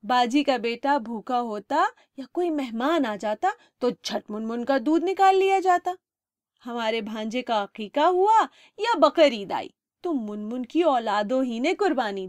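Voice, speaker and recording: A high-pitched woman narrates a story for children. She reads expressively, as a storyteller does. The sound is close and dry, with very little room on it. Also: no echo.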